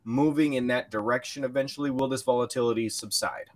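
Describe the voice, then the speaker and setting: A man's voice: mid-range voice, steady tone, fast. A man in his thirties hosting an upbeat online interview, speaking close into a desk microphone. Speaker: podcast host. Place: small home studio, video call. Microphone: large close desk microphone, headset.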